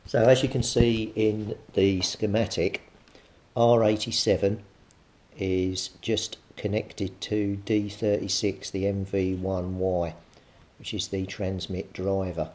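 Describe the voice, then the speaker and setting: A man's voice: low voice, level tone, takes it slowly, darkish tone. A British man giving a casual, hands-on repair commentary, thinking aloud with some hesitation as he works. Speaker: hobbyist radio repairer presenting a repair video. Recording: clearly noisy recording with clicks.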